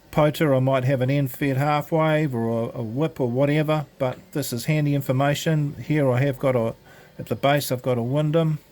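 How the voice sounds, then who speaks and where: A man's voice: mid-range voice, a little mellow. A man presents an unscripted gadget demonstration in a mid-range voice. He explains things casually and a little hesitantly, going one step at a time. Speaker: presenter of an amateur radio gadget demo. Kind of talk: informal product demonstration, unscripted tutorial.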